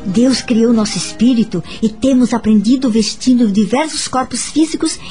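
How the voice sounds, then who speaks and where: Actress doing calm brazilian lady in a radio drama, high-ish voice, gently teaching, bit of music behind. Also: moderate pace, lightly acted. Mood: calm, instructive. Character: calm, kind married woman, patient spiritual counsellor. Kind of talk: radio soap opera acting.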